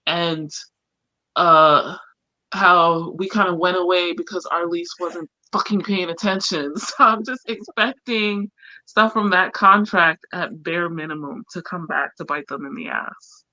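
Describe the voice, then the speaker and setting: Bright-voiced woman, wry and informal, dull audio. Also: on the low side, dry recording. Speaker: book discussion panelist. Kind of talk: casual book chat. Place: online group live stream.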